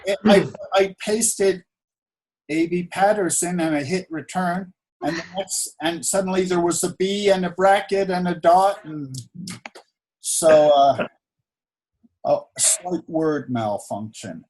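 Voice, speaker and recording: A man co-hosting a reading event over a video call, speaking casually in a halting, teasing way. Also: high-pitched, a little mellow, poor audio. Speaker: co-host.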